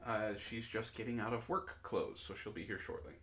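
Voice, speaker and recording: A man's voice: fairly low pitch, fairly even intonation, fairly quick, fairly bright tone. A man hosting a book club, reading prose aloud dramatically and breaking off into exasperated asides.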